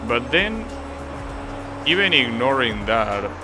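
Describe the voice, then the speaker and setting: A man with an Argentine accent, casually commenting on his racing game to a live-stream chat in a relaxed, musing way. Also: medium pitch, slow pace, music in the background.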